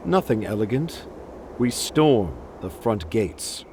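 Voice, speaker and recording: A cunning game strategist with a low, very animated voice. He is formal and theatrical, loyal to his lord, and talks deliberately over music. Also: noisy background, exaggerated voice. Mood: calculating, assured. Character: shrewd, theatrical military strategist, loyal retainer to a prince. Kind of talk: video game voice acting. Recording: music behind the voice.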